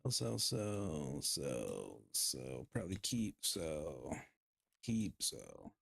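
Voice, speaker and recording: Gravelly man chatting through a game stream. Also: a bass voice, very animated, extremely slow, a bit bright. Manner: rambling, self-deprecating, chatting with viewers while playing. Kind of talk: casual gaming livestream chat.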